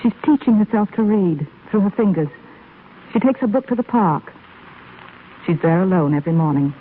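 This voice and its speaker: A woman's voice: medium pitch, expressive, moderate pace, close to an everyday voice. An actress playing a practical, cautious woman confidante in a 1930s radio drama. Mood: reluctant. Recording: muffled scratchy 1930s radio broadcast.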